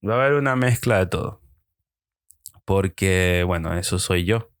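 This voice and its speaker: A young Venezuelan man with a Venezuelan accent hosting a solo podcast, talking reflectively and pausing often with hesitant fillers. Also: deep voice, clean tone, no echo, studio quality. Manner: hesitant, with frequent ums and pauses. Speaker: podcast host.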